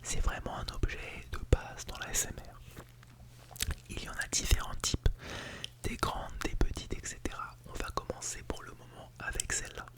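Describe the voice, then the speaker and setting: An ASMR artist speaking in a soft, unvoiced breathy whisper, playing an instructor giving a lightly playful lesson, recorded close on a binaural microphone. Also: moderate pace. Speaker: ASMR artist role-playing as a teacher at an ASMR school. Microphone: close Blue Yeti microphone in binaural mode.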